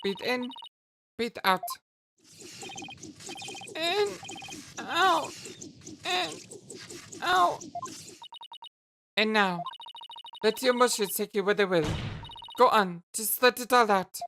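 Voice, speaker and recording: A woman voicing a calm young female counselor, guiding gently, her nose stuffy. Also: on the high side, slow pace, pauses often, noisy background, pitched above the performer's own voice. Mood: soothing. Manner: soothing, guiding. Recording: game music behind the voice.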